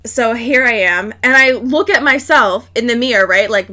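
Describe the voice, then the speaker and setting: A woman's voice: a little high, normal speed, raised voice, background noise. A woman co-hosting a podcast, telling stories in casual, comedic chat with lots of swearing and acted-out dialogue. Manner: animated, sweary, acts out dialogue. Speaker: podcast co-host.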